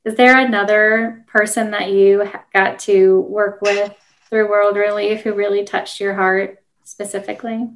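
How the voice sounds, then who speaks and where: A woman's voice: medium pitch, level tone, a little slow, rough recording. An American woman interviewing a guest in a conversational, unscripted way.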